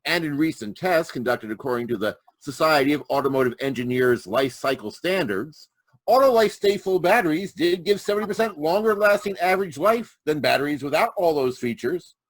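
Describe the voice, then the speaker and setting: An actor playing a sulky, melodramatic battery pitchman in a 1940s-style radio comic commercial. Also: lively intonation, fairly quick, no echo. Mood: grudging, relenting. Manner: melodramatic, pompous. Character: sulky, theatrical male battery pitchman, wounded artiste.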